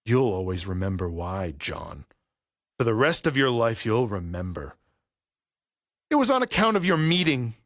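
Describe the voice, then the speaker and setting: An actor playing a vengeful, grieving middle-aged father in an audio play, speaking with deliberate, theatrical menace. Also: lowish voice, very animated, a bit bright, no echo, clean studio sound. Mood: grim restraint. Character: vengeful grieving father, a barber settling an old debt.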